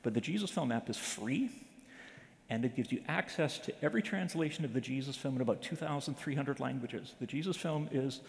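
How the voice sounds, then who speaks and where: A man with a gravelly, mid-range voice speaks to a church audience in a loose, chatty way, full of ums and restarts as he tells stories. His words ring out with a long reverb from the hall around him. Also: medium pitch, brisk. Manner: conversational, many fillers and restarts, storytelling. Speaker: missions speaker at a church presentation. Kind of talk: informal church talk to a congregation.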